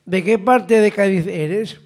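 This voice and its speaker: Man, high voice, easygoing co-host, asks questions. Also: takes it slowly. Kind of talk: casual chat.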